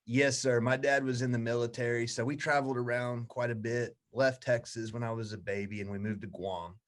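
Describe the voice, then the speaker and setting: A man around forty, a guest in a casual interview, telling stories in a relaxed, easygoing way. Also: mid-range voice, a little fast. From United States.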